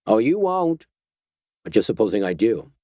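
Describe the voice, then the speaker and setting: A man's voice: fairly low pitch, very animated, moderate pace, mellow, dry recording, clean studio sound. A man voicing a patient, menacing rural male vigilante with a twangy Georgia accent, in an audiobook. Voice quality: twangy, drawling, sniffing.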